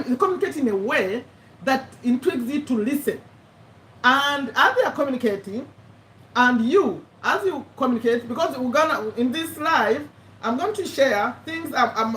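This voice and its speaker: A woman's voice: sits high, a bit bright, a little hiss or hum. A woman, chatting casually to viewers on a live stream, often correcting herself and laughing. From Uganda. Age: 50s. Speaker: YouTube live host, business owner. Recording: echoey room, mic at a distance, faint ambience.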